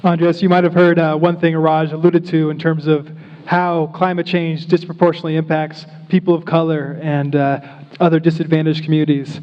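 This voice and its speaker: A man moderating a town hall panel, asking questions in a measured way. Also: fairly high pitch, darkish tone. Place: town hall meeting room. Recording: dull lo-fi sound in reverberant hall.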